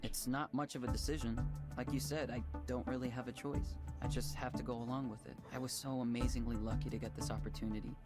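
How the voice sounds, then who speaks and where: A man's voice: mid-range voice, level tone, fast talker, over loud music, lightly acted. An actor playing a conflicted, apologetic young man, a chief engineer, in a naturalistic visual novel game, speaking reflectively. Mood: resigned, apologetic. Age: young adult.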